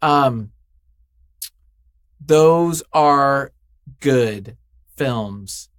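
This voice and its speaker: A man's voice: mid-range voice, extremely slow, no echo, studio quality. A man speaking as an interview guest in casual, unscripted chat, effusive and emphatic, often thinking aloud.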